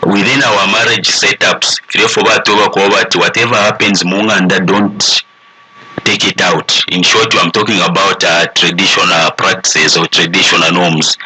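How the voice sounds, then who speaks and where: A man's voice: deep voice, steady tone, moderate pace, bright, forceful tone, a little hiss or hum, low-quality recording. A man with a Zambian accent explaining informally as a panel guest, switching between English and a local language.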